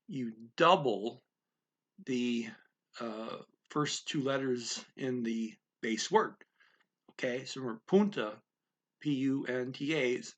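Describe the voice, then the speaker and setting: Husky-voiced older man teaching a language. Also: mid-range voice, fairly bright tone. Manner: conversational, repeats key words, frequent "okay" and "right". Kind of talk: casual explanatory lesson to camera. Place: small room at home, indoors. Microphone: webcam at desk.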